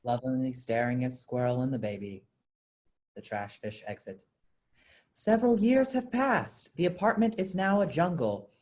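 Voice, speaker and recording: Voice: medium pitch, lively intonation, moderate pace, darkish tone, a little hiss or hum. A narrator reading out scripted stage directions for a play.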